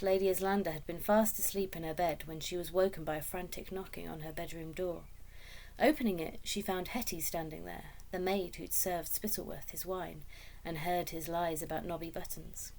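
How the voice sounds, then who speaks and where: A woman reading a children's story aloud as expressive scripted narration. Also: on the low side. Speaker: story reader.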